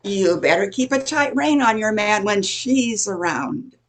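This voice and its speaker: A woman's voice: mid-range voice, lively intonation, unhurried, natural voice. An older woman playing a nosy middle-aged gossip in an amateur radio play, chatty and insinuating. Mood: sly, gossipy.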